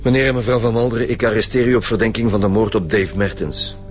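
Man playing a brisk Flemish detective chief over music. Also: lowish voice, fairly even intonation, close to an everyday voice. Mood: businesslike. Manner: brisk, authoritative, wry. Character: commanding male Flemish chief homicide detective. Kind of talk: radio crime drama acting.

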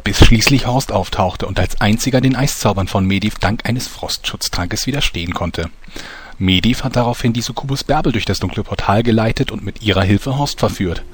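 Husky, low-voiced male storyteller. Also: brisk. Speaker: narrator. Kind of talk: scripted audio drama narration, storyteller addressing listeners.

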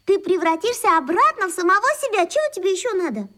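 A woman's voice: very high voice, animated, fast talker, a bit bright. A woman voicing an eager, caring, optimistic schoolgirl in a radio play, chirpy and full of exclamation. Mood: excited, reassuring.

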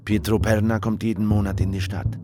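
An actor playing a guarded, world-weary traveller who speaks and narrates in a measured, dry, reflective way in a radio drama. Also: male voice, fairly low pitch, fairly even intonation, close to an everyday voice. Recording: studio radio drama under loud guitar music.

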